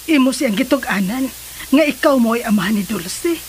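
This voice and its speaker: Woman acting concerned, high-voiced Cebuano mother. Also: animated, moderate pace, natural voice. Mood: concerned, probing. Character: concerned, questioning mother of a grown daughter. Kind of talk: Filipino radio drama acting. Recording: noisy, hissy radio drama recording.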